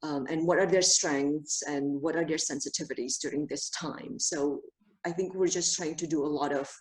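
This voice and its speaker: A woman from Indonesia, a Montessori teacher, answering interview questions over a video call, thoughtful and pausing often with fillers. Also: low-pitched, fairly even intonation, rough recording. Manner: thoughtful, many pauses and fillers.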